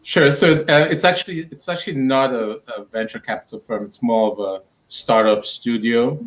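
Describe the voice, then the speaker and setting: A man's voice: medium pitch, normal speed, rough recording. A man from Nigeria, a guest in a casual interview on a video call, talking thoughtfully and repeating words as he builds a point. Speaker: entrepreneur guest.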